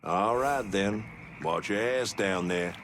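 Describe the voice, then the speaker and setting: A man playing a gruff, foul-mouthed gang henchman with a blunt, crude way of talking. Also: fairly low pitch, slow, noisy background. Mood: casual.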